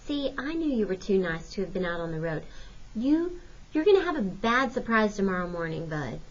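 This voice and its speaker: A frank, inquisitive and sweet girl of ten from a storybook, played by a woman reading aloud. The sound is a little dull and lo-fi, with a middle pitch for a woman. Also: very animated, brisk. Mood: scolding.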